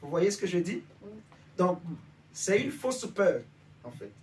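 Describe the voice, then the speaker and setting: A man from Benin gives an informal sermon to a small congregation in a conversational voice that sits a touch high. He keeps asking his listeners whether they follow him. He is recorded from a distance, with faint noise behind him. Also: very animated, a little slow, a little mellow. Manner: conversational, asks listeners for agreement. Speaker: preacher.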